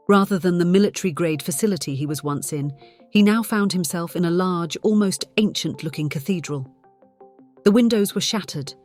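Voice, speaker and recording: A woman's voice: lowish voice, a little mellow. A woman reading scripted fiction as a dramatic audiobook narrator. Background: music.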